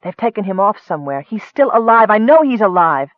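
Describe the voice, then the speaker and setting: A man's voice: high-pitched, moderate pace, no room sound, close to an everyday voice. A man voicing an anguished, headstrong young noblewoman warrior in a fantasy audiobook, with urgent, impassioned delivery. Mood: shaken, resolute. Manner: impassioned, urgent, breaking into cold resolve. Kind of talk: audiobook character acting. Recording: muffled, dull lo-fi recording.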